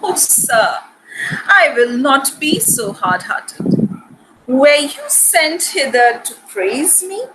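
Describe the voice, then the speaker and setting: A woman acting a proud, sharp-witted noble lady in a Shakespearean dramatic reading, with an arch, haughty manner. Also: sits high, animated, takes it slowly, noisy background. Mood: proud, firm.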